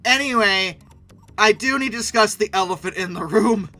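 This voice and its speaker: A man's voice: high voice, normal speed, bright, forceful tone, faint music behind. A man giving casual video commentary in a sarcastic, opinionated, emphatic way. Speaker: YouTube commentator and host.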